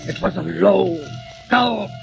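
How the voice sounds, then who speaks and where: A dying, delirious poet in a vintage radio play, haunted and anguished. His voice sits very high and drags slowly with a creaky edge as he raves obsessively, over music. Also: exaggerated voice. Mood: frantic, rising dread. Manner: anguished, raving, repeating words obsessively. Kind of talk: 1940s radio drama acting.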